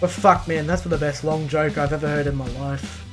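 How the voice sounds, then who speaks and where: A man with an Australian accent and a slightly high-pitched voice chats as a podcast co-host. He is informal and unscripted, dropping swear words freely. Faint music sits behind his talk. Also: steady tone, moderate pace. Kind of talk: casual off-the-cuff podcast chat.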